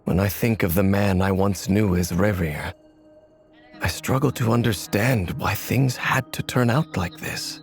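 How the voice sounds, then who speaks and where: A man voicing a weary, brooding, stoic warrior in an anime game, solemn and deliberate. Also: lowish voice, soft background music. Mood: somber.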